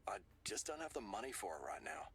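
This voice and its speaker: An actor playing a casual young man in a visual novel, with a soft, husky voice. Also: lowish voice, sing-song, fast, slight background noise. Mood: laid-back.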